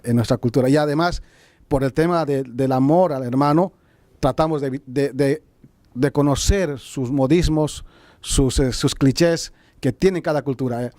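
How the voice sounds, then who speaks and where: South American male pastor on a panel, earnest and repetitive, mid-pitched, dry close sound. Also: a little mellow, no room sound. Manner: earnest, repeats words. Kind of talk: panel discussion.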